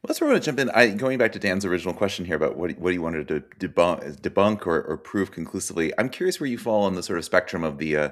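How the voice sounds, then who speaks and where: Deep fast-talking man co-host. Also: lively intonation. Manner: rambling, academic, many "like" fillers. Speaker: podcast co-host. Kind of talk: interview question.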